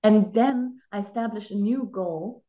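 A woman's voice: medium pitch, unhurried, a crowd in the background. Native German woman in her 30s, chatty interview guest, roomy dull call audio. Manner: reflective, chatty, many filler "like"s. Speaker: actress and life coach. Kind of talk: casual video-call interview. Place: home room with bare wall. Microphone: video call.

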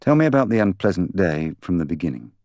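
Audiobook role: courteous, probing male inspector, measured questioning, very low pitch acted by a man. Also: sing-song, a little mellow, no echo. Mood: polite, probing. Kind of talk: audiobook character dialogue.

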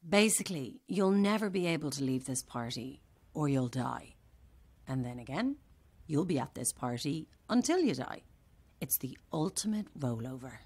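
A macabre, businesslike woman in an audio horror comedy. She speaks in a low, rough-edged voice, brisk and matter-of-fact. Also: big pitch swings, darkish tone, full character voice. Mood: brisk, ominous.

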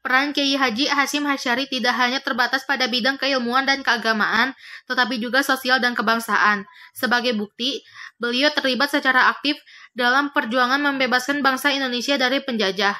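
Young woman student reading a lesson text aloud, crisp and bright, high in pitch. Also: fairly even intonation, a little fast.

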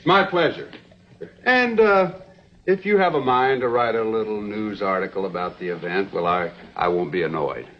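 Actor as smooth, lively young western promoter. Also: male voice, fairly low pitch, normal speed, lightly acted. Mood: genial, sly. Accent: American. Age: young adult. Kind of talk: 1960s TV western acting. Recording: dull old television soundtrack.

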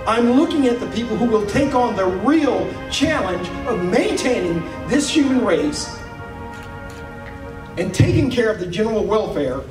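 A man's voice: high voice, sing-song, unhurried, music in the background. A man giving an emphatic, preacher-like congratulatory speech at a podium in an auditorium. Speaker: ceremony speaker. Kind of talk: inspirational speech. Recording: echoey hall, distant mic.

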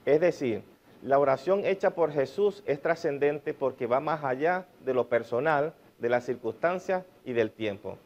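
A man in his forties preaching a sermon while seated at a desk, measured and emphatic, repeating key phrases. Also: mid-range voice, animated, darkish tone. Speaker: pastor. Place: small church stage with painted mural, indoors. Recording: slightly dull lo-fi sound.

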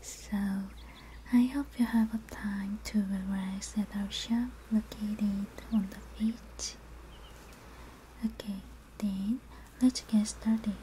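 Slow, whispering ASMR woman. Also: mid-range voice, steady tone, poor audio. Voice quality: soft, breathy whisper. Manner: gentle, soothing, unhurried instructions. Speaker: ASMR artist playing a spa therapist. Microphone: close microphone. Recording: faint background noise, ambient sound.

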